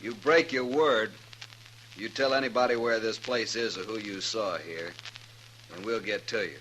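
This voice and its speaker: A man acts out a wary, decisive American outlaw boss in a fifties radio western. His voice sits low with a hard, forceful edge and folksy phrasing. The old recording carries clear background noise. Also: normal speed, close to an everyday voice, low-quality recording. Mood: menacing. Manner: folksy drawl wording, threatening. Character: menacing male outlaw gang leader, wary but decisive. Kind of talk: 1950s radio western acting.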